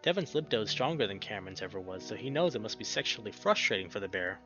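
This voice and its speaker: A man's voice: fairly low pitch, big pitch swings, fast talker, pushed and bright, faint music behind. Man narrating a story, slightly muffled recording. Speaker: visual novel playthrough narrator. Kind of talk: dramatic read-aloud narration of a visual novel.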